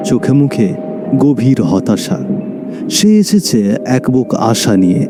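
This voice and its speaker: Expressive male story narrator over music. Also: mid-range voice, a little slow. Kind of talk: dramatic scripted story narration.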